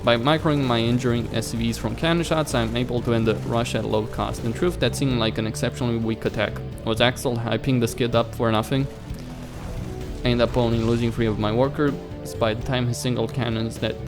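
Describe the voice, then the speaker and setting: A man's voice: medium pitch, fairly even intonation, fairly quick. A man hosting a let's play, reading a visual novel aloud and sometimes stumbling over the words, with music behind him. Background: background music.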